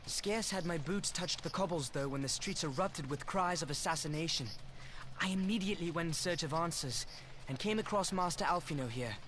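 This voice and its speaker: An actor playing an earnest, dutiful young military officer in a video game, speaking in formal, old-fashioned phrases. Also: male voice, a little high, a bit bright, slight background noise, lightly acted. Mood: grave, formal. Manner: formal, archaic, measured phrasing. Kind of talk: video game cutscene acting.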